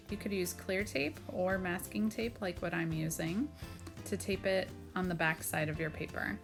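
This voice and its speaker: A woman's voice: fairly low pitch, gravelly. A woman art teacher narrating a children's craft tutorial, explaining simply and step by step. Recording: music behind the voice. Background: background music.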